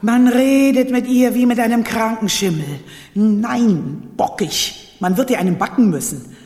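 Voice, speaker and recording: A woman's voice: a little high, unhurried, dark tone, lightly acted. An actress playing a bossy, scheming old witch of a royal grandmother in a radio play, imperious and scolding. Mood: sly, scolding. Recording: long reverberant hall.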